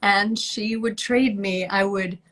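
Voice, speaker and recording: A middle-aged woman talks easily in a casual interview, her voice sitting at an ordinary middle pitch. She strings thoughts together with small laughs. The sound comes through a video call. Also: fairly even intonation, slow pace. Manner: chatty, warm laughs between thoughts. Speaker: guest actress.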